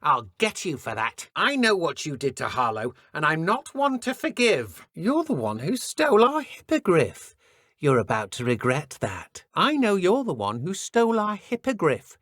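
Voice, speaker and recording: Taunting dark witch, wildly animated pitch. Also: a little slow, no echo, studio quality, exaggerated voice. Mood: cold menace. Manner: mocking, threatening, theatrical. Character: menacing female dark wizard henchwoman, smug and taunting. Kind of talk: video game combat barks.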